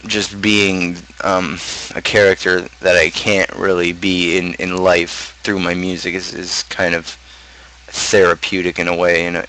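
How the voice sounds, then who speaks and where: Low, monotone man talking casually. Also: rough recording. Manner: halting, repeats and restarts phrases. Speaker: streamer and rapper. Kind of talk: casual talk, explaining own song lyrics.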